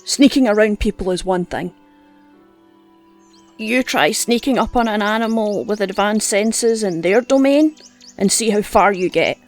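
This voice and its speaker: A woman's voice: medium pitch, measured pace, natural voice. A woman playing a nagging old huntress, music behind. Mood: blunt, scornful. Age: older. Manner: nagging, blunt, scornful. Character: gruff, scolding old woman, veteran hunting mentor. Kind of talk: audio roleplay drama acting. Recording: music under the voice.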